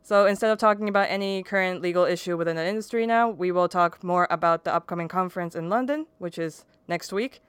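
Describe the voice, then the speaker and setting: A woman's voice: mid-range voice, clear, resonant tone, dry recording. A young woman hosting a podcast interview, chatty, using casual fillers and short agreements. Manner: chatty, uses "like" and short backchannels. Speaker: podcast host.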